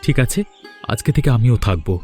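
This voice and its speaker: A man's voice: mid-range voice, animated, fairly quick, a little mellow, lightly acted. A man voicing an earnest young husband in a Bengali cartoon, speaking emphatically. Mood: eager. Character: earnest young husband pleading with his wife. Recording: music behind the voice.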